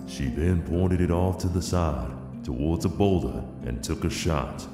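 A man's voice: sits low, unhurried. A man narrating a scripted western audio drama. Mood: measured. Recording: echoing, long reverb over music.

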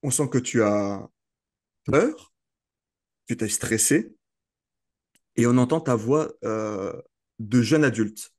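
A man's voice: medium pitch, animated, slow pace, pauses often, darkish tone. A man hosting a casual interview, often restarting and repeating words mid-sentence. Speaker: host.

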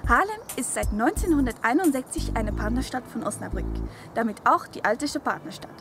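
A woman in her twenties from the Netherlands, giving a bright, lively presentation outdoors. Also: on the high side, a little fast, music in the background. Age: 20s. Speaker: city ambassador. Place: outdoors in a city and park.

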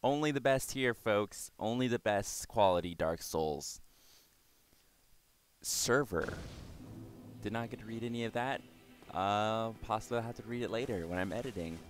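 A young man with a somewhat low voice, a little raspy and hoarse from yelling the day before. He narrates his gameplay casually, cursing at enemies and laughing at his own mistakes. He talks into a desk microphone. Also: normal speed, long pauses, soft background music. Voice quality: slightly raspy. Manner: swears and exclaims at the game, self-mocking. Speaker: gaming channel host. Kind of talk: casual let's-play commentary.

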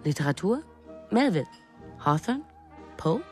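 Radio drama role: a playful, probing female confidante, low register, light music behind. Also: sing-song, unhurried. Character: curious, teasing female friend of a writer. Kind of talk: German radio play acting.

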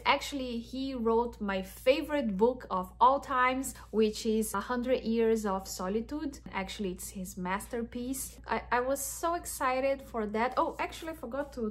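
Chatty Brazilian woman, slightly high voice. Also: a little slow, hardly any pauses. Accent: Brazilian Portuguese. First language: Portuguese. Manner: chatty, enthusiastic, restarts phrases. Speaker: wellness vlogger. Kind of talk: casual chat to camera.

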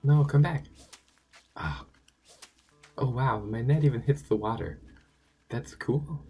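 A man with a hoarse, sore-throated voice giving casual, chatty commentary while playing a video game, talking to himself and to the game. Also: medium pitch, lively intonation, slow, mellow, faint music behind. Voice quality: gravelly, raspy. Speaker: let's play gamer.

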